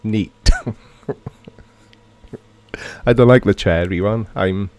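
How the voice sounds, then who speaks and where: Welsh middle-aged male streamer, lowish voice, joking and chatty, dry close sound. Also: drawn-out words, darkish tone, no room sound. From Wales. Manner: chatty, joking, reads viewer comments aloud. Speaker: livestream host. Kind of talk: casual live chat reading comments.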